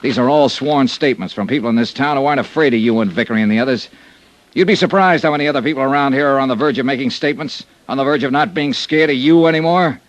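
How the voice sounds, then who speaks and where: An American actor playing a tough, sardonic insurance investigator in a clipped 1950s radio drama style. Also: male voice, mid-range voice, quick pace, slight background noise, natural voice, rough recording. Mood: forceful, stern. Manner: clipped, hard-boiled delivery. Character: tough, sardonic male insurance investigator narrating his case. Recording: vintage radio drama recording.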